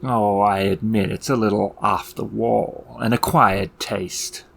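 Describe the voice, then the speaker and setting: A man's voice: lowish voice, steady tone, gravelly, a bit bright, slight background noise, exaggerated voice. A man voicing a menacing, mocking elf clown villain in a theatrical, taunting way for a tabletop game. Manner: theatrical, slow taunting delivery with sudden outbursts.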